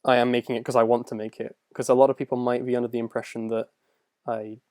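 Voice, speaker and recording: A man's voice: mid-range voice, little pitch movement, fast talker, dark tone, no room sound, studio quality. A young man casually answering viewer questions on a livestream, rambling and self-deprecating as he goes. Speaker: animator and video creator answering viewer questions.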